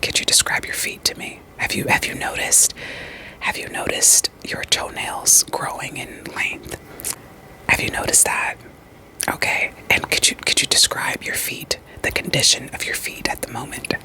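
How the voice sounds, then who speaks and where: A woman playing a calm, reassuring therapist in an ASMR roleplay, whispering softly and breathily with gentle, deliberate questions. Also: low-quality recording. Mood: gentle, probing. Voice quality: soft, breathy, mostly unvoiced whisper. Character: calm, reassuring female therapist screening patients for werewolves.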